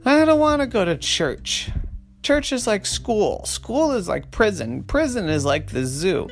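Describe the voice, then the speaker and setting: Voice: mid-range voice, very animated, a little slow, soft background music, pitched above the performer's own voice. A storyteller voicing an anxious, stubborn little schoolboy in a whiny, protesting way for a children's story. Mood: whiny, pleading. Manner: wailing, protesting, emphatic.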